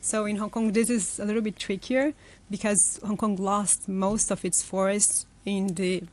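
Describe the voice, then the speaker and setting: A woman's voice: mid-range voice, little pitch movement, moderate pace. A young woman from Brazil, a guest in an interview, explaining her work thoughtfully with many fillers and restarts. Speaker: forest restoration guest. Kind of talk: conversational interview answers.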